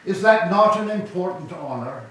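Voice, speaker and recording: A man's voice: sits high, expressive, moderate pace, a bit bright. A man playing an evasive Greek herald bearing grim news, with emphatic delivery in a staged tragedy reading. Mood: brisk, insistent. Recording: distant, echoey hall with background noise.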